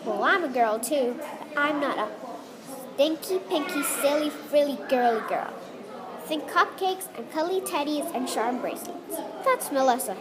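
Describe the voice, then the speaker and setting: High-voiced female story reader amid crowd noise. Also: animated, a little slow. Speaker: book reader at a read-aloud event. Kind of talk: reading a children's story aloud. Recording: busy crowd noise behind the voice.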